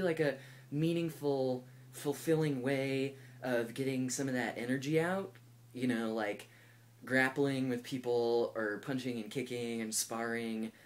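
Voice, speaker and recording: Candid young man rambling through a vlog. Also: medium pitch. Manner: thoughtful and candid, heavy use of "like" and "um". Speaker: video blogger. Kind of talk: casual personal vlog talk.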